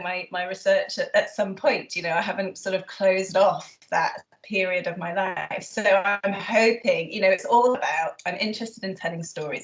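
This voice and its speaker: Chatty woman in an echoey room. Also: fairly low pitch. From Yorkshire, England. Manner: thoughtful, hesitant with many ums. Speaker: guest historian. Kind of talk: relaxed interview answers.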